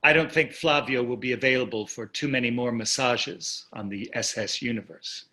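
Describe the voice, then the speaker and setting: An actor in a staged play reading, playing a wealthy older husband who is dry, teasing and condescending. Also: male voice, medium pitch, moderate pace, bright, forceful tone, natural voice. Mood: dryly teasing. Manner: dry, needling, condescending endearments.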